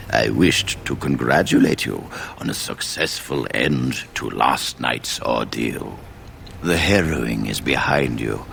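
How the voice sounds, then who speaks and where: Old wise male mage, slow and deliberate, gravelly, fatherly, fantasy game dialogue, faint background noise. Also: extremely low pitch, fairly bright tone, exaggerated voice. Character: elderly male head mage, wry, patient, fatherly. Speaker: video game character. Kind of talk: measured video game dialogue acting.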